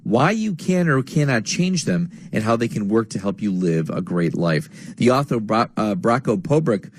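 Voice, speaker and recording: A male radio host with a low, slightly husky voice, reading his introduction and questions in a smooth announcer manner. The sound is dry and close, with no room around it. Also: no echo. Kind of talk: radio interview.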